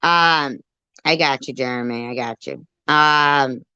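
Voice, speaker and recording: Woman doing casual live stream commentary, really low voice, dry muffled lo-fi audio. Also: slow, sharp tone, clear, resonant tone, no room sound.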